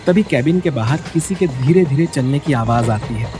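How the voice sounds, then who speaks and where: A man's voice: medium pitch, animated, normal speed, mellow. A man reading a scripted bedtime story in a dramatic storytelling style, as narrator. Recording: music bed behind the voice. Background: background music.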